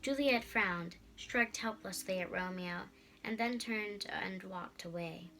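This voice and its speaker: A young girl giving an expressive scripted reading of a story as narrator. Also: medium pitch, takes it slowly, a little hiss or hum, poor audio. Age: child.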